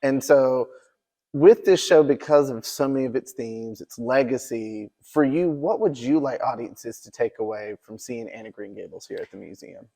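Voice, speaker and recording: A man's voice: medium pitch, normal speed, warm tone. Man hosting an enthusiastic, chatty promotional interview. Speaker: interviewer, museum event host.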